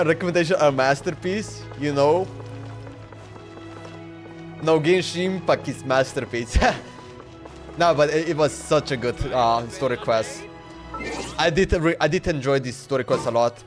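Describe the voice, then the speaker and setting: A man's voice: a little high, unhurried, long pauses, faint music behind. A man streaming a game, chatting loosely and laughing, often saying "bro" and "man.". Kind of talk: casual livestream chat while playing.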